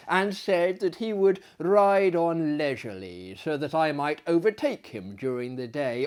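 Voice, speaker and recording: A man in his thirties reading a literary tale aloud in a theatrical, gentlemanly manner, with dramatic pauses and chatty asides. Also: on the high side. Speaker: storyteller, host. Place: small book-lined living room, indoors.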